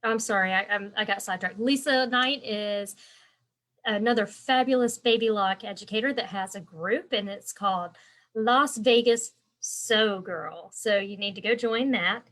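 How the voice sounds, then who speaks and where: A woman's voice: medium pitch, normal speed, a bit bright. Lady teaching embroidery on a live stream, stuffy nose, rambles on and chats to viewers. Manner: chatty and rambling, answering viewer comments by name. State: congested. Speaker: embroidery and quilting instructor hosting a live stream.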